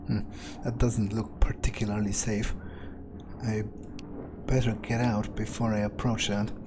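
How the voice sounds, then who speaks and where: A man's voice: lowish voice, level tone, a little slow, background noise, close to an everyday voice. A man roleplaying an irritable, foul-mouthed male vampire in a video game, musing aloud in a hesitant way. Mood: musing, wary. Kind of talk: in-character roleplay commentary over gameplay. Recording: music behind the voice. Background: game music.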